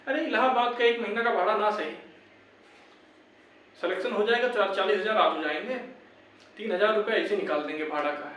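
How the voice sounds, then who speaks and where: A man's voice: high voice, fairly quick, pauses often, a bit bright. A young man, talking informally and insistently to his viewers, repeating his points as he gives advice and urges them on. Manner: insistent, repetitive, addresses viewers as brothers. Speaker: YouTube exam-update presenter. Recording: very echoey room, distant microphone.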